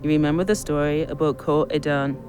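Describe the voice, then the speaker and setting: A man acting a reckless, drunk man coming unravelled in an audio play. His voice sits fairly high, with an insistent, excitable push, over prominent music. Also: brisk, lightly acted. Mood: brooding. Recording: music behind the voice.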